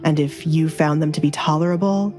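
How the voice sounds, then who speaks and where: Woman voicing a commanding, wry duke, low-pitched. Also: fairly even intonation, brisk, darkish tone, faint music behind, natural voice. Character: dangerous, wry, teasing nobleman duke, adult man. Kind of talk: dramatized audio romance dialogue.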